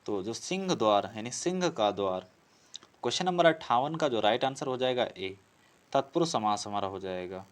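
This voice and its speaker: A man's voice: mid-range voice, animated, moderate pace. A man teaching an exam-prep class, explaining step by step, repeating phrases and answering his own questions. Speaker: teacher for competitive exam Hindi grammar. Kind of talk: classroom lesson working through practice questions.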